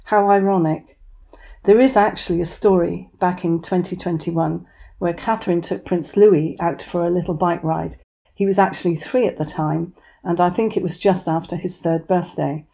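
A woman's voice: lowish voice, vocal fry, mellow. A woman giving opinion commentary as a voice-over. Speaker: commentary channel host.

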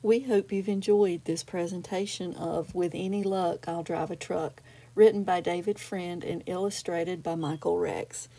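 A woman's voice: on the low side, fairly even intonation, measured pace, dark tone, breathy edge, rough recording. A woman presenter giving a scripted introduction and credits for a children's story program.

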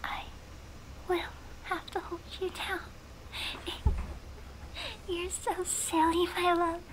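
A woman voicing an obsessive, clingy young girlfriend in a whispered ASMR roleplay, breathy, cooing and teasing. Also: very high-pitched, level tone, slow, a little hiss or hum, rough recording. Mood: adoring.

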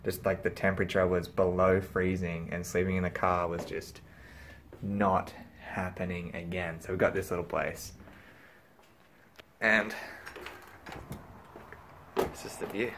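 A man's voice: sits low, flat delivery, a little slow, long pauses, a bit bright, slight background noise. A young man, chatting casually to a handheld camera on a desert road trip, laid-back and jokey. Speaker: travel vlogger. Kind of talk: casual travel vlog chat. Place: outdoors in desert, car and motel room. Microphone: handheld camera at arm's length.

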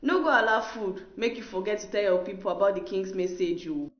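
A woman's voice: mid-range voice, a little fast, close to an everyday voice. A woman with a Nigerian accent playing a young village woman in a Pidgin radio drama. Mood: teasing. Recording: muffled, roomy, music behind.

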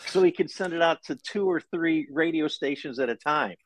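A man's voice: fairly high pitch, normal speed, no echo. A man in his fifties with an American accent, telling stories conversationally as a guest author in an interview. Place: living room at home, indoors. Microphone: video call.

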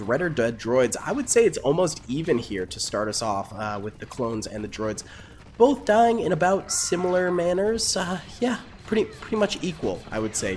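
A man's voice: medium pitch, slight background noise. A young man, sick and with a partly lost voice, giving casual upbeat commentary over a strategy game as the channel host. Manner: upbeat, chatty, talks to viewers.

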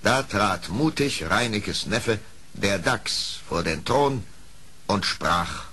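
A man narrating a scripted radio play story in an expressive storytelling manner. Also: on the low side, slow, slightly rough.

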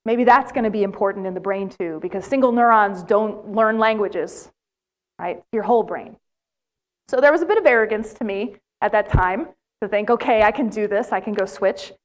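A middle-aged woman with an American accent speaks in a large lecture hall, caught by a camera at some distance. She lectures in an animated, storytelling way and breaks off to ask the audience questions. Her pitch sits in the middle of the usual range for a woman. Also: moderate pace. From United States. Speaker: plenary speaker.

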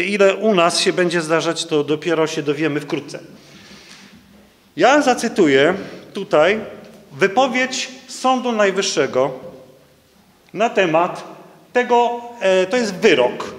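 A middle-aged man stands at a stand microphone and gives a conference talk in a high-pitched voice. He reads legal passages aloud and stumbles through fillers and restarts between them. His words ring back through a large, reverberant hall. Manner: halting, with many fillers and restarts, reading legal text aloud. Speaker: doctor giving a conference talk. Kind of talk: conference lecture reading out court rulings. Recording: echoey hall, long reverb.

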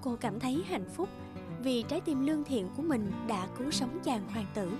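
A woman's voice: sits high, lively intonation, normal speed. A woman narrator reading a scripted fairy tale in a storytelling style. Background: music.

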